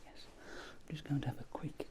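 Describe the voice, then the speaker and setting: A man speaking in a soft, breathy whisper, gently playing a polite, reassuring ear examiner in an ASMR roleplay. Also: normal speed.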